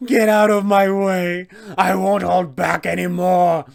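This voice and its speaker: A man voicing a starving, desperate young vampire spawn from a fantasy game, pleading and menacing in drawn-out screamed outbursts. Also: sits high, flat delivery, creaky, voice pushed up, exaggerated voice. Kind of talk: theatrical fantasy game villain acting.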